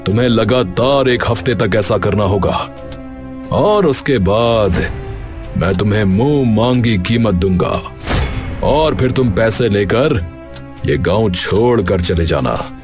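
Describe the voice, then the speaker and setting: A man voicing a scheming, greedy village headman in a cartoon, talking slowly with menace. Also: lowish voice, animated, music in the background. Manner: slow, menacing, drawn-out villain delivery. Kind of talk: cartoon voice acting.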